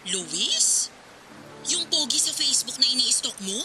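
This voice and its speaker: Casting: actress for brash, teasing older sister, female, very animated high voice, radio drama with prominent music. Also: a little slow, exaggerated voice, rough recording. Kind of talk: acted radio drama dialogue.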